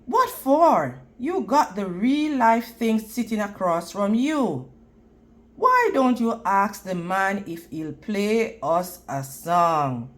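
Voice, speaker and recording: A wise storybook grandmother, as a woman reader plays her, speaks with gentle encouragement. She takes her time and instructs patiently, in a mid-range voice. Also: mid-range voice, very animated, slow pace. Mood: brisk, encouraging.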